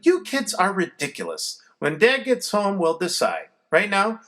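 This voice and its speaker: A man reading a children's story aloud, voicing a fussy, exasperated mother in a high put-on voice. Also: huge pitch swings, unhurried, a bit bright, exaggerated voice. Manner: high, scolding, sing-song. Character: fussy exasperated mother, middle-aged woman.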